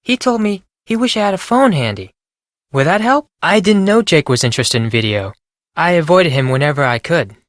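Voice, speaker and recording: Earnest Japanese exchange student, dry sound. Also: male voice, on the high side, expressive, a little fast, fairly bright tone, dry recording. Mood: helpful, reserved. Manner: formal, careful phrasing. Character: earnest, anxious male Japanese exchange student, high school age. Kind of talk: scripted video game voice lines.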